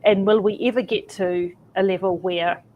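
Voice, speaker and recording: A woman's voice: medium pitch, steady tone, normal speed, muffled recording, a little hiss or hum. A woman guest in a casual interview, talking with enthusiasm and checking in with "right?" and "you know". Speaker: guest speaker.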